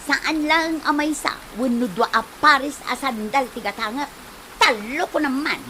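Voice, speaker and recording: High, scolding, envious Ilocano actress as a wife. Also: female voice, unhurried, pushed and bright, slight background noise, rough recording. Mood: bitter, scolding. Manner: scolding, sneering. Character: envious, snappish, scornful married village woman. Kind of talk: Ilocano comedy drama acting.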